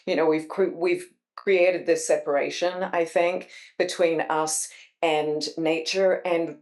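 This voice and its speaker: A woman's voice: on the low side, fairly even intonation, takes it slowly, a little mellow. A woman hosting an interview who responds with enthusiasm and asks long, meandering questions full of fillers. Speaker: host.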